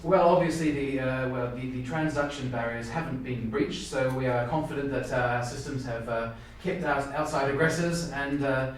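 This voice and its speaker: Distant and very echoey, a man acts a flustered male official. He hedges and stammers evasively, halting often, in an improvised drama. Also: mid-range voice, fairly even intonation, close to an everyday voice. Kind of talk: improvised audition role-play. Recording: echoey room, distant mic, faint noise.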